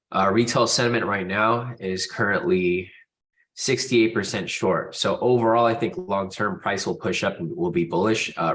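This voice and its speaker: Very low-voiced man with a stuffy nose. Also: a bit bright. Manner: informal, addresses viewers directly. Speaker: host of a live trading room. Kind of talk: casual live stream commentary.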